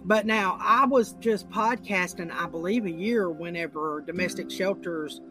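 A woman hosting a podcast, with a raspy, deep voice, talking in a loose, rambling way full of repeated words and "you know"s. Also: unhurried, bright, forceful tone, faint music behind. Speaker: podcast host. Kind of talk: casual interview chat.

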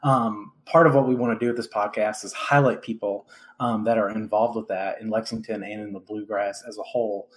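A man's voice: on the low side, fairly even intonation, a little fast. Casual male podcast host.

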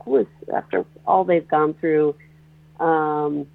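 A composer and performer from northeastern Pennsylvania, answering interview questions on the radio, talking in a halting way with many restarts. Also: mid-range voice, fairly even intonation, vocal fry. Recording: tinny phone line.

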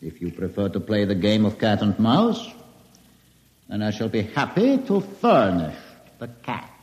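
A menacing male villain from an old radio play, gloating with mock courtesy. His pitch swoops widely as he takes his time over each phrase, in a long echoing reverb. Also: sing-song, measured pace, darkish tone, a little hiss or hum. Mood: smug menace. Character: menacing, gloating male captor toying with tiny prisoners. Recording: reverberant, dull vintage radio recording.

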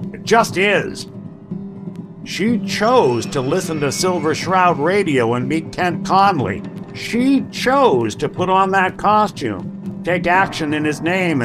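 Slow-talking noir detective android. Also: male voice, on the high side. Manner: hard-boiled, earnest, halting explanations. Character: weary, reasoning male android noir private detective. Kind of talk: old-time radio drama acting.

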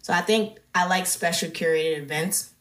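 A woman with a slightly low voice, talking as a guest DJ. Her delivery is casual and laid-back, full of slang, like an easy chat. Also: moderate pace, far from the mic.

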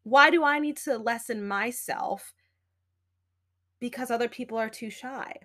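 A young adult woman hosting a solo podcast, talking in a loud, animated, emphatic way with plenty of fillers. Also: a little high, a little slow. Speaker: podcast host. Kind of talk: casual solo podcast talk.

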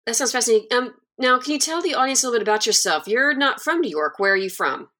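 A woman's voice: on the high side, expressive, fast, sharp tone. A woman hosting a book podcast, introducing her guest and asking friendly questions. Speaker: podcast host.

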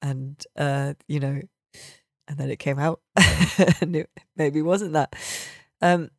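Woman podcast host just chatting, informal and rambly, medium low-ish for a lady, very dry sound. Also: fairly even intonation, slow pace, no room sound. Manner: informal, discursive, peppered with "like" and "you know". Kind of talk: casual chat.

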